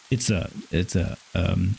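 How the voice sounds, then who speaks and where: A man with a raspy voice hosting a podcast, retelling myths in a chatty way, stumbling over hard names. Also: fairly low pitch, a little mellow, poor audio. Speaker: podcast host. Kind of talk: casual storytelling of Norse myth.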